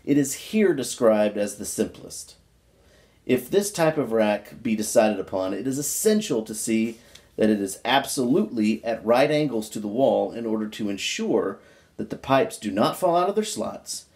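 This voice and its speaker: A man with a folksy, informal manner, chatting casually and reading aloud from a book in an unhurried way. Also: on the low side, lively intonation, a little mellow. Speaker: pipe-smoking video creator reading a book aloud.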